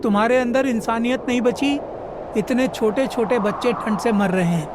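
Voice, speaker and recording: A man voicing a righteous, earnest villager in an animated moral story. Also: very high voice, normal speed. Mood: reproachful. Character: righteous villager urging unity and compassion. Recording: clear background noise.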